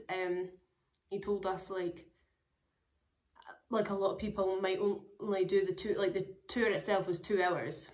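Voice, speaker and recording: A young woman talks casually to a camera in a small room at home. Her voice sits a little low for a woman and sounds hoarse and crackly. She rambles along with plenty of "like" and "um.". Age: young adult. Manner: chatty, rambling, many fillers. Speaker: travel vlogger.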